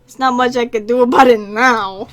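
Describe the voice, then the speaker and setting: A woman's voice: on the high side, fairly even intonation, normal speed, fairly bright tone. A woman streamer giving casual, offhand gameplay commentary with dry, self-amused quips. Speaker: video game streamer.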